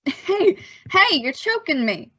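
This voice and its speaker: A bashful boy in his teens, acted by a woman in an old-time radio play. The voice is bright and fairly high, halting and boyishly polite, on a close, dry but slightly dull recording. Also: sing-song, no echo, full character voice. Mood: awkwardly friendly. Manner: stammering, hesitant, boyish. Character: bashful, evasive returned teenage boy, gushing gee-whiz politeness.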